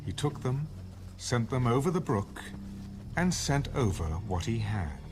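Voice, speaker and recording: A man's voice: medium pitch, big pitch swings, measured pace. A man narrating an audio Bible in a dramatic, scripted storytelling style. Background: background music.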